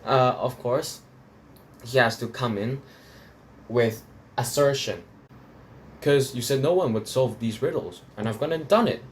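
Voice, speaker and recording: A man's voice: mid-range voice, unhurried, pauses often, slight background noise. A man from Hong Kong reviewing opera recordings in a rambling, casual way, full of restarts and fillers. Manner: rambling, many restarts and fillers, laughs between points.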